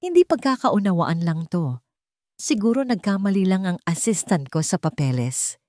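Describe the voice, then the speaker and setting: A woman's voice: mid-range voice, very animated, normal speed, darkish tone, no room sound, clean studio sound, close to an everyday voice. A woman with a Filipino accent voicing a haughty, indignant rich female villain in an audio drama, imperious and defiant. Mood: defensive, defiant.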